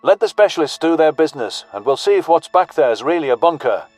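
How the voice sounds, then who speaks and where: Man voicing a blunt, confident human soldier, higher-pitched. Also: sing-song, quick pace, a little mellow, dry recording. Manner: blunt military slang. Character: gruff, confident human soldier, commanding but loyal. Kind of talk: audio story character dialogue.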